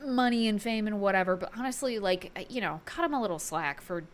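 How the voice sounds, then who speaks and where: A woman's voice: mid-range voice, expressive, fairly quick. A woman giving casual, enthusiastic opinion commentary as a video host, chatty and informal with emphatic delivery.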